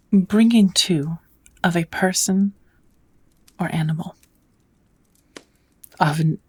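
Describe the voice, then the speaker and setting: A woman playing a kind witch who patiently teaches magic in a soft, often whispered ASMR roleplay voice. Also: lowish voice, husky. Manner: patient, encouraging, unhurried teaching. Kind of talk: ASMR roleplay, whispered lesson to one listener.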